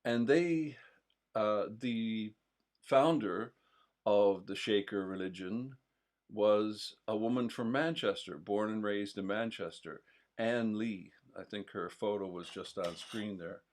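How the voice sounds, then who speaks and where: A man's voice: on the low side, slow. A man chatting casually as co-host of a live stream, rambling with many fillers and restarts. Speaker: co-host of a local history stream. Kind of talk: casual chat.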